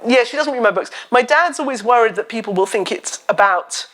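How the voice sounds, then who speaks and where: A woman novelist with a loud voice, talking at a live festival event on stage into a microphone, chatty and funny as she tells stories. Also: on the high side, fairly quick. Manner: chatty, animated storytelling with self-deprecating humour. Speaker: novelist guest. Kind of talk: live on-stage literary chat. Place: festival stage before an audience.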